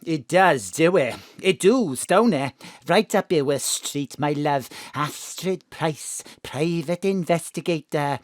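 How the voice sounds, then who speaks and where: A woman's voice: low voice, lively intonation, slow pace, hardly any pauses, no room sound, clean studio sound. A woman playing a doting, scatterbrained middle-aged Welsh mother in a comic audio drama, gossipy and coquettish. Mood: gossipy, fussing. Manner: theatrical, gossipy, muddles her words.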